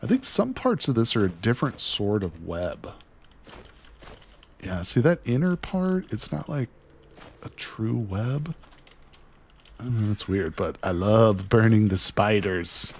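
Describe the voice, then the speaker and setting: Low-voiced guy streaming a game, excited and chatty with viewers, voice going a bit, light hiss. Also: takes it slowly, pauses often. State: losing his voice. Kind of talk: casual gameplay commentary.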